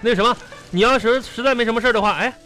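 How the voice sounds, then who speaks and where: A man's voice: very high-pitched, rapid-fire, bright, forceful tone, faint music behind. A man playing a blustering, stingy, teasing father in a comedy radio drama, sputtering and repeating himself as he coaxes and scolds. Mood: bossy. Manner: sputtering, repetitive, coaxing and scolding.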